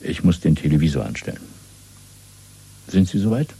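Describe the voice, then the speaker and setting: An actor playing a calm, commanding middle-aged party official in a German radio play, speaking in a measured, didactic and coolly authoritative way. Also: male voice, extremely low pitch, creaky, slightly rough, full character voice. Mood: cool, instructing.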